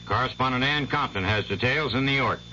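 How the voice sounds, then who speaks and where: A man reading the hourly network news as newscaster in a measured, authoritative way. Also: medium pitch, bright, forceful tone. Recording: muffled old radio broadcast with faint hiss.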